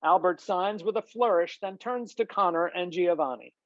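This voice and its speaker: A woman's voice: fairly low pitch, moderate pace, a little hiss or hum. A woman reading stage directions as scripted narration at a table read, on slightly dull video-call audio.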